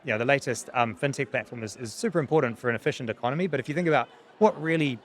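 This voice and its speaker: A man in his thirties with a New Zealand accent answers interview questions earnestly, often pausing and repeating words as he thinks. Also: medium pitch. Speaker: technology company founder, interview guest.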